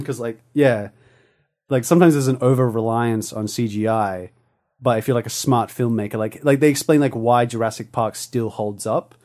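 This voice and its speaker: A man's voice: mid-range voice, moderate pace, a little mellow. A young man hosting a podcast, chatting casually and enthusiastically with lots of fillers. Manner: rambling, filler-heavy, enthusiastic. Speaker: podcast host.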